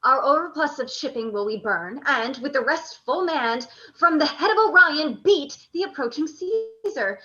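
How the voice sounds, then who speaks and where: Woman acting proud reckless general, high bright voice, impassioned Shakespearean declamation, radio play, dull lo-fi recording. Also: lively intonation, moderate pace, hardly any pauses, music in the background. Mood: defiant. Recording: dull lo-fi audio.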